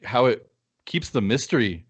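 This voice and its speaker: A man co-hosting a casual film podcast, piecing his thoughts together haltingly as he talks. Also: medium pitch, expressive, slightly rough. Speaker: podcast co-host.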